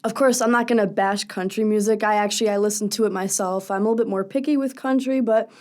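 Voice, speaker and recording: A young woman speaks with a low, raspy voice. She is a singer guesting on a radio show, and she answers openly and earnestly in long, thoughtful stretches. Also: fairly even intonation, quick pace, darkish tone. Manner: earnest, candid, talks in long thoughtful runs. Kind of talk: casual radio interview. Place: radio studio.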